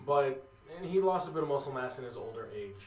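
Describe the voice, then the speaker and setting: A man runs a tabletop game in a loose, halting way, filling gaps with "uh" and "like". His voice sits at a middle pitch. He is picked up from a distance in an echoey room, with faint background noise. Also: lively intonation. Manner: casual, hesitant with many fillers. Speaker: game master.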